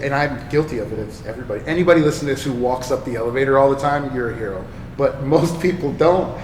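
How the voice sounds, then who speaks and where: A man from Virginia hosting a podcast, musing and agreeable, with some profanity. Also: mid-range voice. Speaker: podcast host. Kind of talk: casual podcast conversation. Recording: echoey room, distant mic, noisy.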